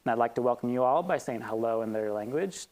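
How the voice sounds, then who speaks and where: A man hosts a community talk evening, reading prepared remarks in a steady manner. When he thanks sponsors and introduces the guest, he talks more loosely, with a few ums. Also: medium pitch, fast talker, low-quality recording. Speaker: event host. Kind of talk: reading a land acknowledgement and introducing a speaker. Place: event hall with audience.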